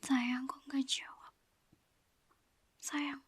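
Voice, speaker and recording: A woman, whispering a slow, coaxing ASMR girlfriend roleplay into a close mic. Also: high voice, flat delivery, no room sound. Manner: soft coaxing whisper, apologetic and pleading.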